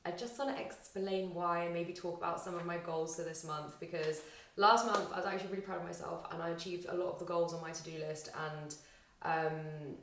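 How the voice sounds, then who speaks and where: A young woman vlogging casually to camera, chatty and rambling, her voice slightly husky and tired after a weekend out. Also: fairly low pitch, steady tone, a little fast, recorded from a distance, a little hiss or hum. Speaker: vlogger and aspiring actor. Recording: roomy echo, faint music.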